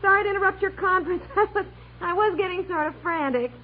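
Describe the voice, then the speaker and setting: Flustered housewife on tinny old radio. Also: female voice, very high-pitched, brisk. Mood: relieved, nervous. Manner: fretful, breathless run-on complaining. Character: flustered, exasperated wife and mother. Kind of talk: 1950s-60s radio comedy acting.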